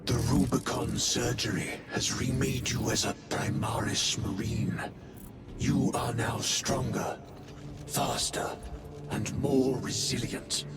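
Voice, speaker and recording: A grave male elder of a futuristic warrior order, speaking slowly and ceremonially. His measured video game delivery rings through an echoey chamber over music. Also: mid-range voice, steady tone. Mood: grave, solemn. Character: solemn male space marine elder presiding over an oath. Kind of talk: cinematic video game dialogue.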